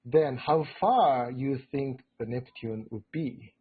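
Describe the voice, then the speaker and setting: A man in his forties from Japan with a Japanese accent, telling his story as a guest speaker, full of "you know" fillers and rising excitedly in pitch. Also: takes it slowly, breathy edge. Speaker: guest speaker, robotics researcher. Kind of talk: storytelling in a live lecture chat. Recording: tinny phone-like line.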